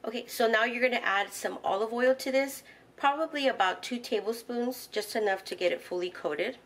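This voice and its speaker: A woman's voice: fairly high pitch, normal speed. Woman walking through a home recipe. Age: middle-aged. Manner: instructional, walks through each step with fillers. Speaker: home cooking channel host. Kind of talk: casual step-by-step cooking narration. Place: home kitchen.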